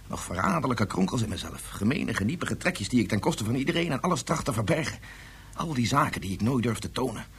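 An actor in a radio drama playing an ashamed, quick-tempered father and scientist, speaking with intense self-reproach. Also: lowish voice, a little hiss or hum. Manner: intense, self-reproaching, halting when moved.

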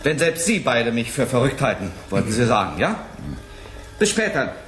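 An actor playing an agitated, insistent scientist at a space colony in a 1980s German radio play, speaking with dramatic emphasis. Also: male voice, medium pitch, very animated, moderate pace, fairly bright tone. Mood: indignant.

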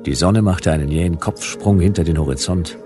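A man's voice: sits low, brisk, over music, natural voice. A man playing a stubborn hard-boiled detective, narrating his case in a dry, laconic, wry way in a radio play. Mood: sardonic.

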